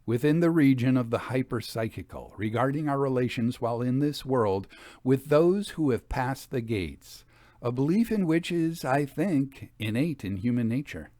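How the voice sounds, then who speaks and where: Expressive male storyteller, close dry sound. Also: medium pitch, moderate pace, no echo. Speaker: host and reader of a ghost story podcast. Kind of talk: literary reading and informal scripted commentary.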